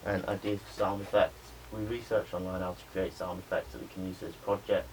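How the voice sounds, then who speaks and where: A young adult man, a media student giving a spoken pitch presentation to a class. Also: little pitch movement, fairly quick, darkish tone. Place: indoor room with a small audience. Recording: noisy room recording.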